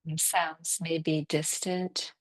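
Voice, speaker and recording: A woman teaching guided yoga nidra meditation, speaking calmly and soothingly in short phrases with long pauses between them. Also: low voice, poor audio.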